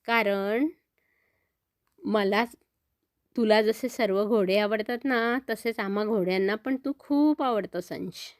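A woman's voice: medium pitch, takes it slowly, long pauses, no echo, clean studio sound, natural voice. A woman voicing a friendly, eager male flying horse who reassures and invites a child in a children's story. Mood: friendly, inviting.

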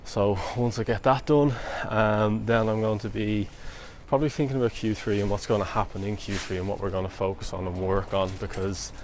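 A man's voice: lowish voice, fairly even intonation, fairly quick, hardly any pauses, a little mellow, a little hiss or hum. A young Irish man giving a casual vlog update from a city street, filmed on a phone held at arm's length. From Ireland. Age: young adult. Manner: chatty, upbeat, loose fillers. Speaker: vlog co-host.

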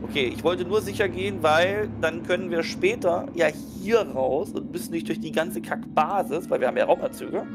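Male tabletop player, fairly high voice, casual table talk, music clearly behind him. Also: moderate pace, hardly any pauses. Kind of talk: casual in-game chat. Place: online group call, indoors at home. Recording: music under the voice.

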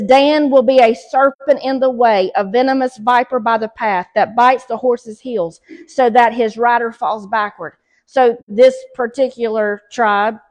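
A middle-aged woman with a Southern US accent teaching a church Bible study, reading scripture aloud and then explaining it with pauses and fillers, recorded by a camera at a distance in a small fellowship hall. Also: a little high, a little mellow. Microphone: far camera.

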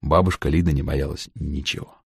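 A man reading a humorous literary story as an audiobook narrator, with a gravelly voice and wry, dry irony. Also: extremely low pitch, fairly even intonation, brisk, no echo.

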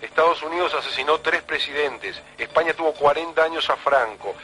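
A man's voice: on the high side, fairly quick, bright, forceful tone. An actor playing a self-righteous, rabid man phoning a radio show, ranting emphatically with an Argentine accent. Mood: preachy. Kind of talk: parody radio call-in.

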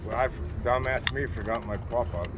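Male stallholder, mid-pitched, plain-spoken and easygoing, casual sales talk with clear outdoor noise behind. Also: fairly even intonation, brisk. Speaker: market vendor of die-cast cars. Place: outdoor flea market. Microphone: shopper's action camera. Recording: noisy outdoor street market. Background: market and street noise.

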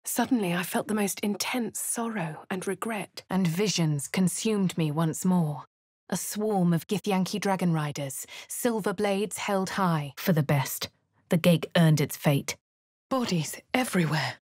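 A woman with a posh English accent voicing a wry young female adventurer in a video game, crisp and clipped. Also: on the low side, unhurried, a little mellow, no echo. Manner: crisp, clipped, dryly sardonic. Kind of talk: video game character voice lines. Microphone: close studio mic. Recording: dry studio recording.

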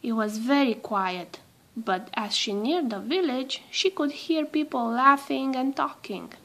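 High-voiced woman reading a children's story slowly. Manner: expressive, measured storytelling for children. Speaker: storybook reader. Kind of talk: read-aloud children's storytelling.

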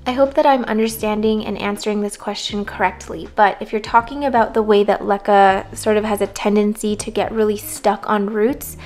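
A hoarse woman casually talking through plant care tips, relaxed and rambling. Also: mid-range voice. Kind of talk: casual voice-over talk.

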